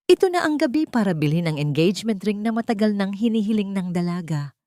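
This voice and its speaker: A woman storyteller reading a scripted romantic drama with deliberate, dramatic phrasing. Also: mid-range voice, expressive, warm tone, no room sound, clean studio sound.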